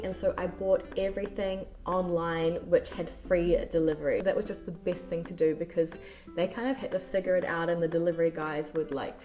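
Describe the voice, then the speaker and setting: A woman with a New Zealand accent softened by years abroad, answering viewer questions in a casual chat, speaking softly and articulating with care. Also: lowish voice. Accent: New Zealand, softened by years in France. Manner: soft-spoken, slow and carefully articulated.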